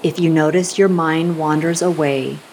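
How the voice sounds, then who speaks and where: A woman guiding a scripted meditation in a soothing, unhurried manner, giving gentle instructions with long pauses. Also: low-pitched, steady tone, slight background noise, low-quality recording. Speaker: meditation guide.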